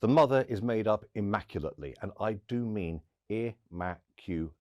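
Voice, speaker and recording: A low-pitched man performs a spoken monologue in a storyteller's style. He leans on pauses and acts out bits of dialogue. The recording is close and dry. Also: animated, a little mellow, dry recording. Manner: dramatic pauses and acted-out dialogue. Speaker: storyteller and podcast host. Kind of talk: theatrical first-person storytelling monologue.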